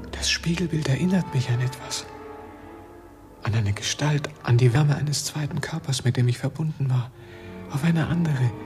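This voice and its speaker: An actor playing a lovestruck, bewildered dreamer in a radio drama, soft and often near a whisper. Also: male voice, mid-range voice, close to an everyday voice. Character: yearning, bewildered male dreamer in love. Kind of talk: German radio drama acting.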